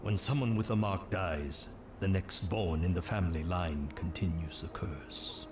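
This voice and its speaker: Game narrator: low male voice, solemn and portentous, telling dark ancient legends over clear background music. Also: measured pace. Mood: grave, ominous. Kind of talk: dramatic scripted storytelling narration.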